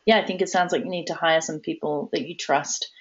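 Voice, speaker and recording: A woman co-hosting a live webinar Q&A, upbeat and quick to laugh. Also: low voice, steady tone, a little hiss or hum. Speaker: webinar co-presenter. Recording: dull lo-fi webinar audio.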